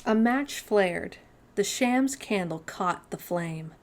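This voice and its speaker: A woman's voice: medium pitch, lively intonation, slow. A woman hosting children's storytime, reading a storybook aloud with dramatic, suspenseful phrasing.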